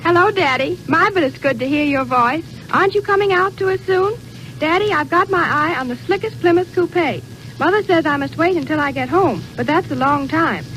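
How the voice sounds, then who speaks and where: A woman's voice: very high voice, lively intonation, moderate pace, fairly bright tone, rough recording. A young woman speaking scripted lines in a 1930s radio style. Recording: faintly hissy 1930s radio recording.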